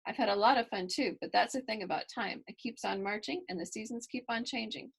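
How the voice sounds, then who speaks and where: A woman voicing a gentle, wise grandfather in a children's storybook, tender and reassuring. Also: a little high, brisk, gravelly, breathy edge. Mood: gently wise.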